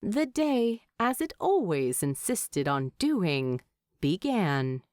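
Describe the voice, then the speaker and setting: A woman's voice: lowish voice, big pitch swings, takes it slowly, a little mellow, dry recording, studio quality. A woman reading scripted fiction aloud as an audiobook narrator.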